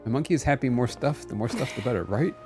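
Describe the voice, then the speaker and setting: A man's voice: medium pitch, lively intonation, fast talker, darkish tone. A man chatting casually and playfully with viewers as he streams a game, often exclaiming, with game music behind him. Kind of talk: casual live gameplay chat with viewers.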